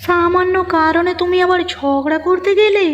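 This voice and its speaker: A woman's voice: very high-pitched, moderate pace, long reverb tail. A woman voicing a concerned village wife in a cartoon, plaintive and full of questions. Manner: plaintive, inquisitive. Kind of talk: cartoon dialogue acting. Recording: muffled narrow-band audio with music.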